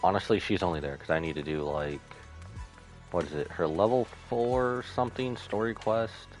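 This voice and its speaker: A man's voice: sits low, moderate pace, a little mellow, faint music behind. A man giving casual, slangy playthrough commentary on a video game as a streamer. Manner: informal, slangy reactions. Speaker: gaming streamer. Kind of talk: casual playthrough commentary, reading dialogue aloud.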